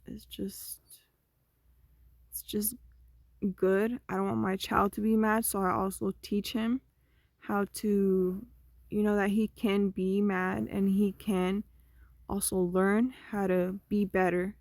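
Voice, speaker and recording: A young woman vlogging, talking through her thoughts in a slow, meandering, conversational way that often trails off. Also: mid-range voice, level tone, a little mellow, no room sound. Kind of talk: rambling personal monologue.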